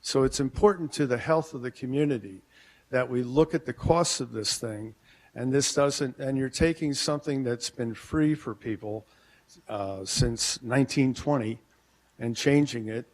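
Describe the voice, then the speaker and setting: Male business owner, public comment; plainspoken, halting, cautious, podium mic in council chamber. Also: mid-range voice. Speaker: merchants association president speaking at public comment.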